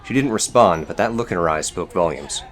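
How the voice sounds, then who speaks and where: Very low-pitched male audiobook narrator. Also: animated, a little fast. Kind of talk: scripted fiction narration.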